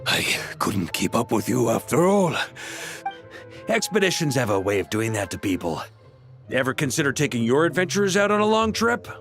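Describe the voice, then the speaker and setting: A man's voice: mid-range voice, sing-song, moderate pace, a bit bright, soft background music. A man playing an affable, easygoing adventurer in an anime game, with a conversational manner.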